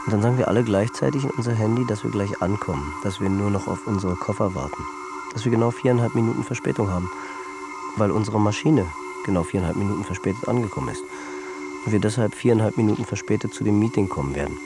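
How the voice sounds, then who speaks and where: A man's voice: fairly low pitch, fast, lightly acted. An actor playing an anxious business manager losing his grip, in a tense, repetitive radio drama monologue. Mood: tired, absorbed. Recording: music behind the voice.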